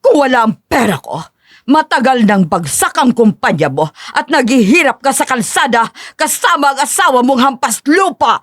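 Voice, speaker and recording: A woman's voice: sits high, very animated, normal speed, a bit bright, dry recording, studio quality, exaggerated voice. A woman playing a haughty, cruel older mother-in-law in a dramatized audio story, disdainful and imperious. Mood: scornful.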